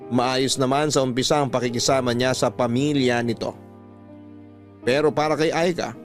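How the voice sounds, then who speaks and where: A man with a Filipino accent hosting a radio drama, narrating scripted stories in a measured, sentimental, rhetorical way. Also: medium pitch.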